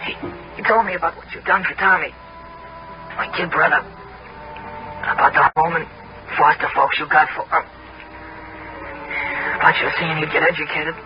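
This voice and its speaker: An actor playing a dying American convict in his thirties, halting and short of breath, with rough street speech, in 1960s radio drama style. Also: on the high side, steady tone, natural voice. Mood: halting, confessional. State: weak, struggling for breath. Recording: dull old radio recording with music.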